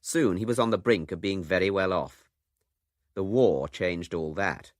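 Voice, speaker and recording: A man's voice: deep voice, unhurried, no room sound, clean studio sound. A man presenting a documentary in scripted narration.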